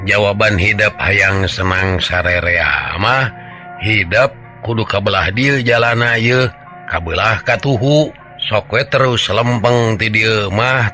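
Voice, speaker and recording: Male storyteller, forceful bright tone, earnest, conversational, dramatized folk tale, faint music underneath. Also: on the low side, slow. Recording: music behind the voice.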